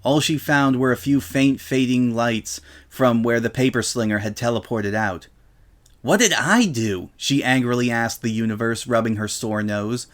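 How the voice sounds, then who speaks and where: A man's voice: mid-range voice, level tone, moderate pace, few pauses. A man narrating comedic fiction as a dramatic reading. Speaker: story narrator.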